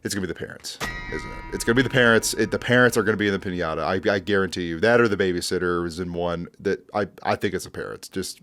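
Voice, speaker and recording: A man's voice: low voice, fast, hardly any pauses, fairly bright tone, soft background music. A man hosting a commentary video, casually recapping horror in a conversational, joking way.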